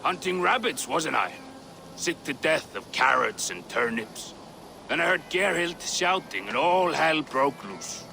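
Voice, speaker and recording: An actor playing a gruff, surly old Viking warrior in a video game, blunt and sardonic, with a gravelly voice. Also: male voice, on the high side, sing-song, unhurried, pushed and bright, slight background noise, full character voice. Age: older. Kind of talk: video game dramatic acting.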